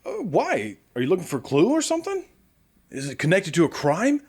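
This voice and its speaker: A man's voice: high-pitched, wildly swooping pitch, a little fast, darkish tone, slightly rough, heavily acted. A man playing a chatty, stammering, friendly radio host in a post-apocalyptic audio drama. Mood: nervous, awkward. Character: nervous, friendly male radio show host after the apocalypse.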